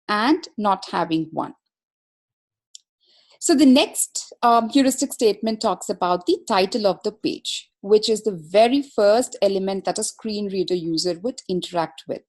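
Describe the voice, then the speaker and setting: A woman's voice: mid-range voice, a little mellow. A woman presenting a webinar as a consultant, explaining material step by step in a formal, methodical way.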